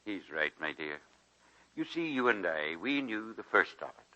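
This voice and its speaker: A genial old college president, fond and fatherly, his very bright, forceful voice swooping up and down. It is 1940s radio acting, heard through a dull vintage broadcast. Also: lowish voice, sing-song, normal speed, heavily acted. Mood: amused, gently persuasive. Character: kindly, chuckling elderly retired Scottish-born college president.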